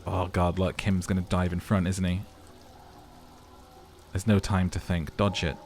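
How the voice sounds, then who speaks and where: A man with a low voice plays through a story game aloud. He narrates with dramatic storytelling flair and slips into casual, sweary reactions as a let's player. Faint game noise sits behind him. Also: level tone, measured pace, darkish tone. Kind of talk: game playthrough narration with commentary and voice acting.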